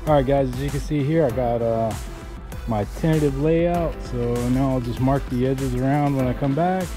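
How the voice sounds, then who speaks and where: Relaxed man hosting a DIY vlog. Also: medium pitch, moderate pace. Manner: relaxed, many "uh" fillers, addresses viewers as guys. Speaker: DIY channel host. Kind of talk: casual DIY vlog talk. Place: outdoors in a fenced backyard. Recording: music under the voice.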